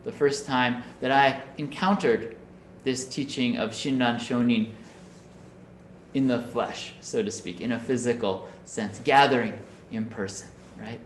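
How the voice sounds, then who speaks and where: Man from Minnesota giving a relaxed Dharma talk. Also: mid-range voice, a little slow, reverberant, a little hiss or hum, rough recording. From Minnesota, USA. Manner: conversational, often adds "right?" to check with listeners. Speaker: Buddhist minister. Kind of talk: Dharma talk over video call. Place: home room on a video call. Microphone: video call.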